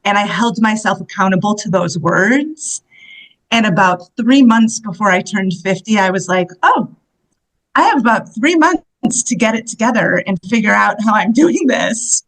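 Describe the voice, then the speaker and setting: This is a woman in her fifties from the United States, a guest in an interview. She tells her stories in an animated, chatty way and laughs often. Her voice sits at an ordinary middle pitch for a woman. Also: moderate pace, rough recording. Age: 50s. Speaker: guest, solo travel coach. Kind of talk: casual interview storytelling.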